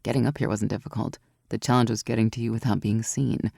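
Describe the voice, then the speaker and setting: A woman voicing a strong, protective warrior woman who speaks low and soft in a storybook. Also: steady tone, fast talker, vocal fry, slightly rough, no room sound, clean studio sound, exaggerated voice. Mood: reassuring.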